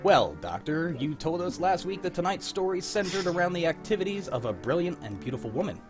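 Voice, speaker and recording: A man performs as a hearty 1940s radio announcer. His voice is a touch high and moves with lively, folksy salesmanship. Music plays clearly underneath him. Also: a little fast. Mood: friendly, interested.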